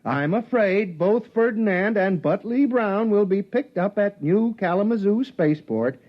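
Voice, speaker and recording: An actor playing an officious, conniving spaceship captain in a 1950s radio drama, formal and exasperated in manner. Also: male voice, high voice, animated, unhurried. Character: bureaucratic male spaceship captain, stern but conniving and conciliatory. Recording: dull vintage radio drama recording.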